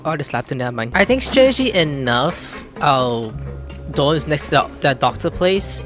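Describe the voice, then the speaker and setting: A woman commenting on a video game she is playing. Her voice sits a little low but moves around a lot, playful as she reads lines aloud. Faint music plays behind her. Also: lively intonation, normal speed. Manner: playful, bursts into loud put-on voices. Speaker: game streamer. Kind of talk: playthrough commentary, reading dialogue aloud.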